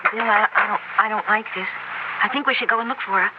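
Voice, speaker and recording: A woman's voice: medium pitch, close to an everyday voice. Anxious young student, played by a woman, old radio. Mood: anxious, insistent. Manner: halting, earnest. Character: anxious, insistent young female college student. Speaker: actor. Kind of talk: 1970s radio drama acting. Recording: muffled, noisy old radio broadcast.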